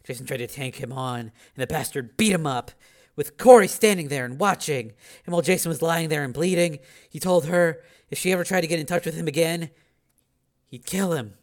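Grave, sympathetic woman done by a male reader: lightly raised pitch, measured thriller storytelling. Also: lightly acted. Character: serious, sympathetic woman relaying grim news.

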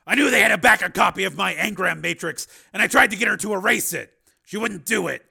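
A man voicing an intense, agitated action hero in an audiobook, speaking loudly and emphatically with a strained delivery. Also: sits high, fast, creaky, husky, pitched above the performer's own voice, heavily acted. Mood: grim, urgent.

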